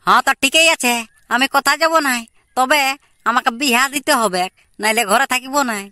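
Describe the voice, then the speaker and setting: A woman's voice: high-pitched, normal speed, sharp tone, dry recording. A woman voicing an indignant young village son in a cartoon comedy, arguing insistently in a Purulia Bengali accent. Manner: argumentative, repeatedly demanding agreement. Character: hot-headed, indignant young village son wanting marriage. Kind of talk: amateur cartoon comedy dialogue.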